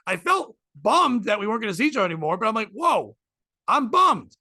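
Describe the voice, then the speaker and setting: Forties guy, high gravelly voice, rambly and sweary podcast chat, dry sound. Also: big pitch swings, a little fast, bright, forceful tone, dry recording. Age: 40s. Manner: candid, rambling, swears freely. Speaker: podcast host. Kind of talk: casual chat.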